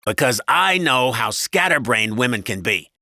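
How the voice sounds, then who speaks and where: Man playing belligerent audiobook husband, crude, sneering, forceful, swooping emphatic pitch. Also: sing-song, normal speed, no echo, clean studio sound, exaggerated voice. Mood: brusque. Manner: loud, belligerent, sneering, swearing. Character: gruff, crude, domineering cigar-smoking husband.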